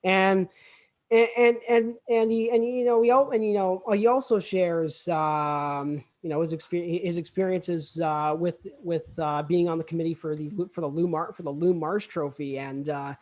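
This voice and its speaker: A man's voice: a little high, fairly even intonation, moderate pace, darkish tone. A young man hosting a student podcast casually, stammering and repeating words as he goes. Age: young adult.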